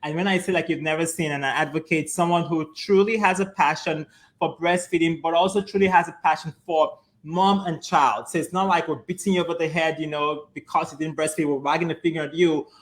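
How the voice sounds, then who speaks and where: A man's voice: fairly high pitch, steady tone, a little fast. Enthusiastic male doctor hosting a live show. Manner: warm-spoken, enthusiastic, affirming interviewer. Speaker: physician host of a weekly live show. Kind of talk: casual live interview.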